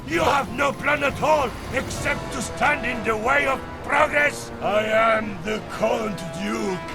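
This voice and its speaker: An actor playing a vain, scheming megalomaniac count in a video game, declaiming in a bombastic, grandiose way. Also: male voice, very high-pitched, takes it slowly, gravelly, music in the background, heavily acted. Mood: defiant, ranting. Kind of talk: theatrical video game villain acting.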